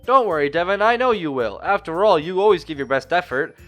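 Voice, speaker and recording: A man's voice: high voice, expressive, a little fast, a bit bright, pitched above the performer's own voice. A man voicing a bubbly, encouraging teenage girl from a visual novel, reading her dialogue aloud. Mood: cheerful, reassuring. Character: cheerful, encouraging teenage anime girl, close friend. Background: music.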